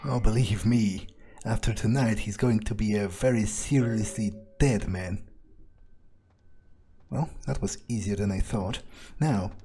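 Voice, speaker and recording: A man with a husky voice doing in-character commentary on a game, musing aloud to himself. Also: fairly low pitch, measured pace, dark tone. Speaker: gaming video maker.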